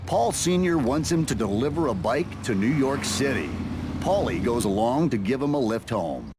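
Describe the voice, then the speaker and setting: A man's voice: medium pitch, very animated, normal speed, heavy background noise. A man narrating a motorcycle video game in a scripted, reality-show storytelling style.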